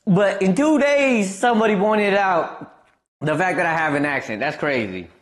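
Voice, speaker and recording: A man's voice: high-pitched, moderate pace. Laid-back Midwestern young man streamer. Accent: Midwestern American. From Wisconsin, US Midwest. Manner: laid-back, rambling, lots of fillers, animated when amused. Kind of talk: casual chat with viewers.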